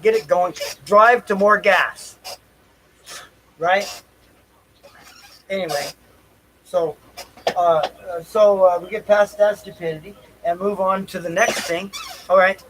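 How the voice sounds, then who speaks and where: A man telling a casual, rambling story on a livestream in a halting, repetitive, folksy way. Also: high-pitched, background noise. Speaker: livestream host. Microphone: stream microphone.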